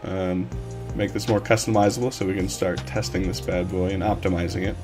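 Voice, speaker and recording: A man with a slightly hoarse voice, streaming a casual coding tutorial from his desk and rambling with lots of fillers. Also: deep voice, fairly quick, over music. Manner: relaxed, rambling with many fillers. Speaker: livestream coding instructor.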